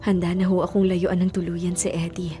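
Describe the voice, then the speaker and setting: An actress playing an earnest, remorseful young wife and mother in a Filipino radio drama, with halting, emotional delivery. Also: on the low side, little pitch movement, music in the background, lightly acted. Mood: earnest, resolved.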